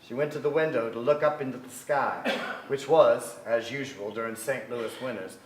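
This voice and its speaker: A man's voice: medium pitch, moderate pace, lightly acted. An actor playing a brooding, restless son who recalls his family in a theatrical staged reading. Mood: wistful, remembering. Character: brooding, restless adult son, narrator of memories. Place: theatre stage before an audience. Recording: echoey theatre stage, some noise.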